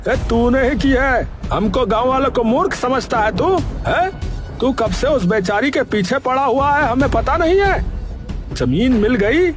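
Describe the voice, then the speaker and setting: A man's voice: very high-pitched, animated, unhurried. A man playing a hot-headed, brash villager in a cartoon story, scolding and taunting coarsely. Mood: angry. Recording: faint music behind the voice.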